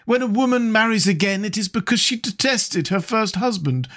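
A cynical, witty aristocrat who drawls his epigrams at an unhurried pace, as a male reader plays him. His voice sits slightly high and swoops with lively inflection, a storybook dinner guest. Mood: mocking.

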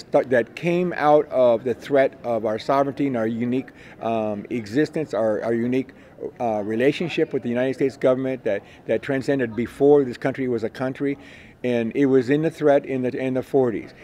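Older man interviewee, mellow dark tone, halting delivery with restarts. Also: medium pitch. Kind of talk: interview answers.

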